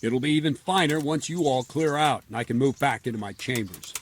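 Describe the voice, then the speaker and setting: Game casting: male actor as forthright castle captain, medium pitch, blunt and gruff, loyal to his lords. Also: fairly quick, a little hiss or hum, lightly acted. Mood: grumbling. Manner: blunt, plain-spoken. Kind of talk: video game dialogue.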